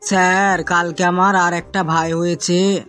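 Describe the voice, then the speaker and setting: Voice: mid-range voice, little pitch movement, unhurried, a bit bright, faint music behind, close to an everyday voice. A performer voicing a cocky, mischievous schoolboy in a comic cartoon, boastful and recitational, with a Bengali accent. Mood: matter-of-fact excuses.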